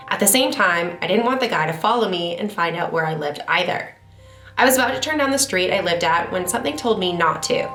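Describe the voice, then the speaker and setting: A woman's voice: lowish voice, reverberant. A woman who is stuffed up from a cold, chatting casually and then reading scary stories aloud as the host of a horror story channel. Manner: chatty and self-deprecating in the intro, steady when reading. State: congested from a cold.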